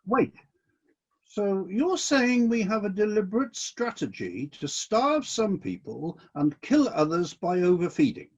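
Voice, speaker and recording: A husky-voiced, exacting celestial boss played by an actor in a satirical radio comedy. The delivery is measured and politely insistent, with very wide swings in pitch. The recording is slightly dull. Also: very animated, full character voice. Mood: sceptical. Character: exacting, fair-minded celestial company boss reviewing complaints. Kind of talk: satirical radio play dialogue.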